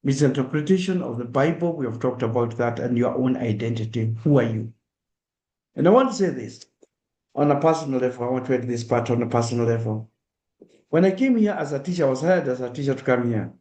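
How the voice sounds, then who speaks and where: A man's voice: medium pitch, lively intonation, brisk, warm tone. Kenyan man speaking in an echoey room. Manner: earnest and direct, acts out examples in first person. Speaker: speaker at a diaspora awareness event. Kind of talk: public talk.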